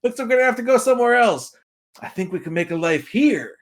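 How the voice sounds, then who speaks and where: A man's voice: extremely high pitch, animated, fast talker. A man chatting casually and giving emphatic opinions as a podcast guest, repeating points for effect. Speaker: podcast guest, film and game pundit.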